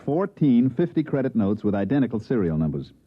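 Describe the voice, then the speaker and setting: Fast-talking, wisecracking 1950s radio agent. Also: male voice, mid-range voice, sing-song. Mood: wry, brisk. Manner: dry wisecracking, hardboiled delivery. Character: wry, cocky male government agent narrating his own case. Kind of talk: 1950s radio drama acting. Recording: dull vintage radio recording with music.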